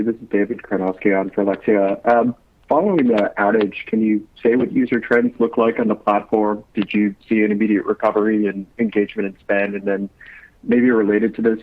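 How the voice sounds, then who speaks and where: A man's voice: on the low side, dry recording. A man calling in as a financial analyst to put questions to executives on an earnings call. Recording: narrow, dry phone line.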